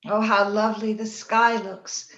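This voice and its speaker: A woman's voice: mid-range voice, flat delivery, measured pace, natural voice. A woman playing a dying, lovesick young woman in a wistful, theatrical old-time radio drama style. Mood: dying, tender. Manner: wistful, romantic, theatrical delivery. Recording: roomy, dull remote recording.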